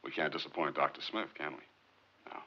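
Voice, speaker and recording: An actor playing a stoic, calmly confident male space pilot in a 1950s science fiction film. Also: a bass voice, fairly quick, exaggerated voice. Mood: subdued, resolute. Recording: muffled, noisy old film soundtrack.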